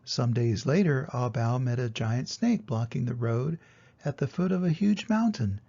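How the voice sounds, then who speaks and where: Older male storyteller for kids' picture books, creaky, mellow tone, slightly dull recording. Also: mid-range voice, animated, normal speed. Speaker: storyteller reading to children. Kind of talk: scripted children's storybook narration.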